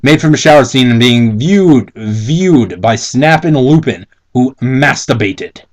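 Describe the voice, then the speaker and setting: A man's voice: mid-range voice, animated, measured pace. A man co-hosting a mocking dramatic reading, casually commenting with giggly, stumbling, exasperated profanity. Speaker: co-host reading and mocking fan fiction.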